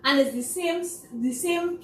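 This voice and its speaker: A Trinidadian woman speaks with passion and emphasis, her voice pitched high. She laughs often as she makes her points. The sound is roomy and distant, with a faint hiss underneath. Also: unhurried. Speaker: guest, theatre producer. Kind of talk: casual talk show chat.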